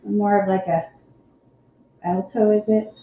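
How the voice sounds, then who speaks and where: A woman's voice: mid-range voice, flat delivery, unhurried, a little hiss or hum. A woman co-hosting a live painting stream, chatting in a rambling way and laughing often. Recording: very echoey, dull lo-fi sound.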